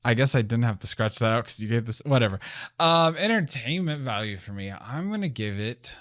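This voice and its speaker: A man's voice: medium pitch, expressive, brisk, fairly bright tone, no echo, studio quality. A young man hosting a casual film review chat in a laid-back, slangy, rambling way. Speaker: film review show host.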